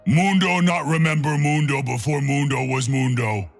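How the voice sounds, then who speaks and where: An actor voicing a hulking, dim-witted mad brute who thinks he is a doctor, with a gravelly voice and broken caveman grammar, for a video game. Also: on the high side, steady tone, moderate pace, soft background music. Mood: musing, self-satisfied. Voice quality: gravelly, husky. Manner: broken caveman grammar, speaks of himself in third person. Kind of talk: video game character voice lines.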